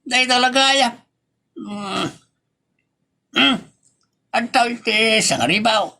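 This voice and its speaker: A man with an Ilocano accent playing a gullible, defensive family man in a comedy audio drama, drawn-out and hesitant. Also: very high-pitched, pushed and bright, full character voice. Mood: smug. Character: gullible, defensive family man who lends money freely.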